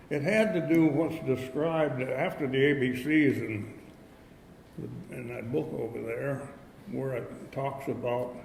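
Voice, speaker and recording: An elderly man from upstate New York tells his recovery story to a meeting in a folksy, halting way, full of fillers and restarts. His voice carries a long hall reverb, with faint noise in the background. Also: medium pitch, gravelly, a little mellow. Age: 80s. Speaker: AA speaker.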